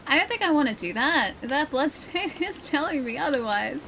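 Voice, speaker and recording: A woman's voice: high voice, lively intonation, moderate pace, noisy background. A woman with a mild Australian accent and a slightly nasal voice, chatting casually and laughing often while she plays and streams a game. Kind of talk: casual gameplay commentary.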